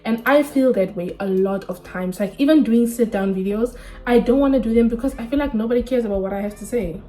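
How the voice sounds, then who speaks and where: A woman's voice: a little high, brisk, warm tone. A woman in her twenties from Namibia, chatting candidly and casually to camera in a sit-down vlog, full of slang. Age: 20s. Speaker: vlogger.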